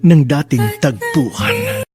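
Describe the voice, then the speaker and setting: Casting: male radio drama host, Filipino accent, raised pitch, sentimental storytelling over a music bed. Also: wildly swooping pitch, takes it slowly. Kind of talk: dramatic scripted narration, letter reading. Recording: radio broadcast with music underneath.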